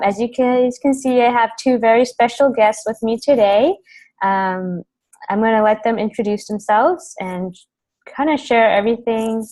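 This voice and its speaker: A woman's voice: medium pitch, a little mellow. Casting: young adult woman, casual webinar host, video call audio. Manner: casual, friendly, filler-heavy. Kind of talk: webinar hosting.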